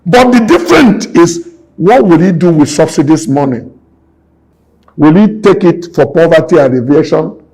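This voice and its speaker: A man's voice: fairly high pitch, expressive, normal speed, a little mellow, slightly rough, low-quality recording. A man in his sixties, a businessman guest in an interview, talking emphatically and repeating his points like a preacher. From Nigeria. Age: 60s. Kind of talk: impassioned interview talk.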